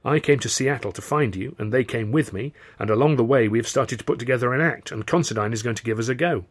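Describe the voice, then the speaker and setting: A man's voice: mid-range voice, fast talker, close to an everyday voice. A man playing an eager, flustered music-hall comedian who pleads and persuades in an audiobook. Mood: explaining. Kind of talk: audiobook character dialogue.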